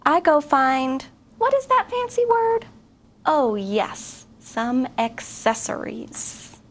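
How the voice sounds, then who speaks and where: A middle-aged woman teacher reading a picture book aloud to children, expressive and asking young listeners questions. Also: high-pitched, takes it slowly. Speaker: guest reader, teacher.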